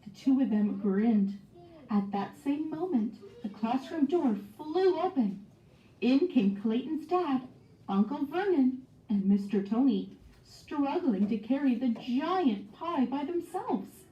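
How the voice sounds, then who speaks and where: A woman's voice: high-pitched, expressive, slow pace. A woman narrating a children's storybook expressively, acting out the dialogue as she goes. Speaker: storybook read-aloud narrator. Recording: dull, echoey, distant microphone.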